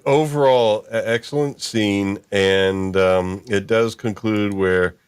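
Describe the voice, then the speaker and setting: Low-pitched man hosting, dry close sound. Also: fairly even intonation, takes it slowly, clear, resonant tone, no echo. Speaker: stream host. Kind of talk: casual livestream chat.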